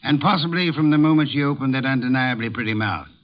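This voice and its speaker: An actor playing an imperious, surly gourmet detective in a 1950s radio drama, with pompous, curt, precise formal diction. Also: male voice, medium pitch, quick pace, lightly acted. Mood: dismissive, haughty. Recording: dull, muffled vintage radio recording.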